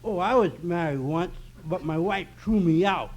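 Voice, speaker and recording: A goofy radio drifter. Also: male voice, sits high, moderate pace, a little mellow. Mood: dopey. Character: goofy slow-witted jobless drifter man. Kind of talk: 1950s radio comedy acting. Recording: noisy vintage radio recording.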